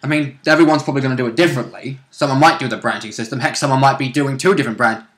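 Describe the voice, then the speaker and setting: A man's voice: mid-range voice, steady tone, rapid-fire, a bit bright. A man chatting casually as a player and co-commentator, hedging his guesses in informal, sometimes sweary speech. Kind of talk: casual chat, speculative commentary.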